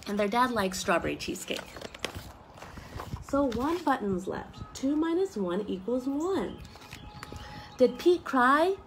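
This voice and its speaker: A woman in her thirties reading a picture book aloud to young children in an animated way, with chatty asides and questions, recorded outdoors on a deck at about arm's length. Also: mid-range voice. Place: outdoor wooden deck with trees around. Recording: noisy outdoor home video. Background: clear outdoor ambient noise.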